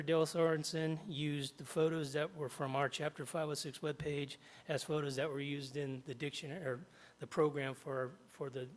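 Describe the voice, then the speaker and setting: A middle-aged man, slightly hoarse after jaw surgery, reading prepared remarks at a podium in a large meeting hall and stopping often to clear his throat. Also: mid-range voice. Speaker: union chapter president. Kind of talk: public comment at a board meeting. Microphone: podium microphone.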